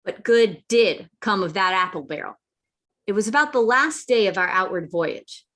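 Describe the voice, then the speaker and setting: Woman as lively young boy narrating sea adventure. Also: mid-range voice, moderate pace, lightly acted. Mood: earnest, storytelling. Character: Jim Hawkins, earnest boy narrator. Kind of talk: scripted narration, radio play reading.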